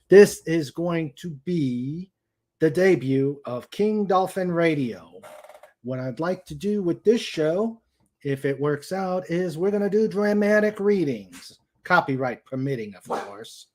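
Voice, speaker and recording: A man hosting a casual livestream chat, speaking haltingly as he searches for his words. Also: on the high side, warm tone. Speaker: host. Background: dog noises and clicks.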